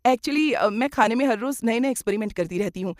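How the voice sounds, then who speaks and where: A woman's voice: a little high, lively intonation, quick pace, no echo, clean studio sound, pitched above the performer's own voice, natural voice. A woman voicing a cheerful, eager young daughter-in-law who gushes and insists, in a moral cartoon story. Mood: eager, insistent. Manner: gushing, insistent.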